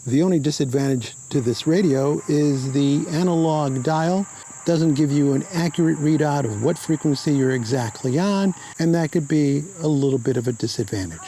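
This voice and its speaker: A man narrating a scripted product review in a plain, measured way. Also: on the high side, mellow, slight background noise, rough recording.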